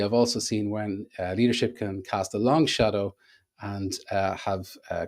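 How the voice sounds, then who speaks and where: Male podcast host, lively varied pitch, halting, lots of uhs. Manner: halting, many filler "uh"s, friendly questions. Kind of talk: interview hosting.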